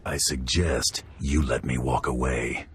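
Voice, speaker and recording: An actor playing a curt, deadpan bounty hunter who trades threats with criminals in a game cutscene. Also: male voice, extremely low pitch, measured pace, a little hiss or hum. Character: gruff male bounty hunter, dry and threatening. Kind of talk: video game cutscene acting.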